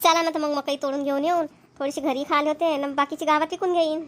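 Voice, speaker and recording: Woman playing high-voiced village grandmother Shanta. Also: level tone, fast talker, close to an everyday voice. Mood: homely, practical. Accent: rural Maharashtrian (Varhadi). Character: Shanta, doting village mother-in-law and grandmother, chatty farmer's wife. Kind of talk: Marathi cartoon comedy voice acting.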